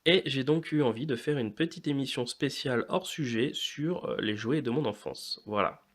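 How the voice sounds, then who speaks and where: A man in his late thirties presenting informally to viewers as a video host, often hesitating with drawn-out fillers. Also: mid-range voice. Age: late 30s. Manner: hesitant, frequent long filler pauses. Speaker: YouTube host of a toy and model kit channel.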